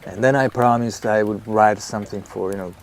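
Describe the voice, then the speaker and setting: A man plays a middle-aged writer in a radio drama, genial and a little self-absorbed. His voice sits low, and he talks in a chatty, sociable way with faint noise behind him. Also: steady tone, brisk, lightly acted. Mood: chatty, musing. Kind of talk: audio drama acting.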